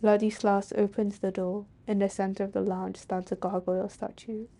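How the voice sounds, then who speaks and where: A woman's voice: medium pitch, little pitch movement, normal speed, warm tone. A woman streaming a game, reading its narration aloud in a storytelling way and dropping into offhand casual reactions. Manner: switches between archaic scripted narration and offhand reactions. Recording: faint game music behind. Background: game soundtrack.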